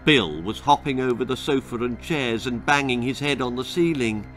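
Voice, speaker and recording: A man's voice: mid-range voice, animated, moderate pace, fairly bright tone, faint music behind. A British man in his sixties reading a children's story aloud with dramatic narration. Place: small indoor room lined with bookshelves.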